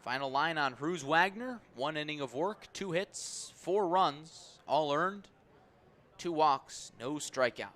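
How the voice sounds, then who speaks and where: Bright-voiced male baseball radio announcer. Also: lively intonation. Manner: measured between pitches, raised and urgent on plays. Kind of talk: live radio sports commentary. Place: stadium press area on second deck.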